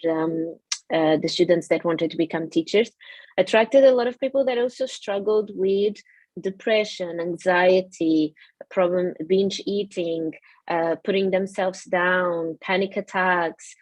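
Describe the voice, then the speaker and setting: A woman's voice: lowish voice, darkish tone, no room sound. A woman from Portugal with a Portuguese accent, a yoga studio owner telling her story in an earnest, reflective way with many fillers. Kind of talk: interview.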